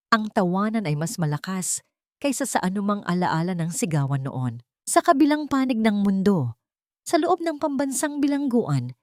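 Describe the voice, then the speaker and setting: A woman narrating a dramatic audio story in a steady storytelling read. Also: lowish voice, no echo, studio quality.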